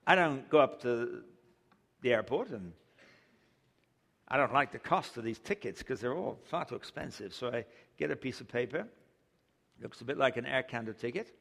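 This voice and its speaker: Older preacher in an echoey hall. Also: male voice, medium pitch, gravelly, a bit bright. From England. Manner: humorous storytelling, chuckles at own jokes. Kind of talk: sermon. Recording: long hall reverb.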